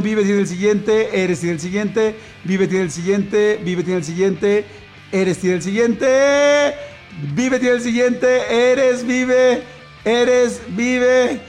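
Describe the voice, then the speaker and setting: A high-pitched man with a Mexican Spanish accent, hosting a playful morning radio show from the studio. He jokes, laughs easily and rattles off listener messages at a quick clip. A faint music bed runs under his voice. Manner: jokey, laughs often, rattles off messages fast. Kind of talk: casual morning radio banter.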